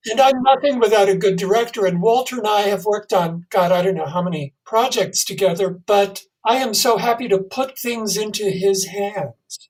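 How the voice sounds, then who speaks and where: A man's voice: sits high, darkish tone, far from the mic, rough recording. A man speaking casually as an interview guest, self-deprecating and appreciative of others.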